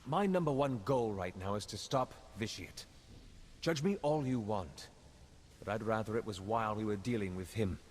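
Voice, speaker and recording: An actor playing an earnest, troubled intelligence agent in a science fiction game cutscene. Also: male voice, fairly low pitch, moderate pace, a little mellow, a little hiss or hum, lightly acted. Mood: remorseful, determined. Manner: earnest, serious. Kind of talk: video game cutscene acting. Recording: long reverb, music underneath.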